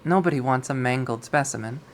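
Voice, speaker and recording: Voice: mid-range voice, fairly quick, lightly acted. A reader voicing a coolly obsessive young woman who collects insects in a visual novel, with lilting, conversational menace. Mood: smug menace.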